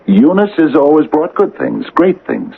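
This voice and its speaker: An actor playing an earnest, agitated small-town man in a radio drama, halting and stammering with urgency. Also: a little high, wildly swooping pitch, creaky, slightly rough, narrow, tinny audio, exaggerated voice. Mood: earnest, accusing. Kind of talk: 1980 radio drama acting. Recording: band-limited old radio recording.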